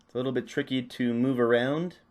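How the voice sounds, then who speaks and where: A man with a medium-pitched voice presents a practical tutorial. He explains each step casually and without a script, talking as he works. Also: fairly even intonation, fairly quick, darkish tone. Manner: unscripted, narrating while working. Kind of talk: casual step-by-step hands-on instruction.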